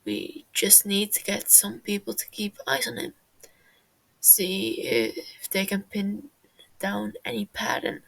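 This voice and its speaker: A woman voicing a gruff, protective man in an audio story, curt and exasperated. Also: medium pitch, very animated, slow, a bit bright, heavily acted, rough recording. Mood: matter-of-fact.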